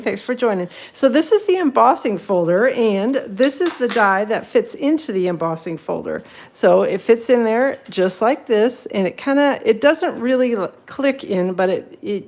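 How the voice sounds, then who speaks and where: A chatty woman runs a crafting livestream, a little sniffly from a cold. She rambles between tips, greets viewers by name and laughs easily. Her voice sits at an ordinary middle pitch. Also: a little mellow. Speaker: papercraft livestream host. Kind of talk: casual livestream demo.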